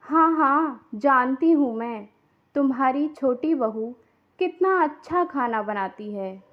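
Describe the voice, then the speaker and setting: Woman acting a high-pitched haughty rich daughter-in-law. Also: lively intonation, takes it slowly, muffled recording. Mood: haughty, scornful. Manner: boastful, scornful. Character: haughty rich daughter-in-law, boastful and quick to take offence. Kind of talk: dramatised Hindi cartoon moral story.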